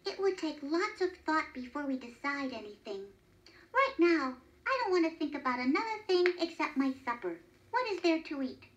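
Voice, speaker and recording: A woman's voice: high voice, big pitch swings, moderate pace, dull audio. A woman playing a plain-spoken, grumbling woodcutter husband with an emphatic manner, in a children's story. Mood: thoughtful. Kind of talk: children's storybook character reading.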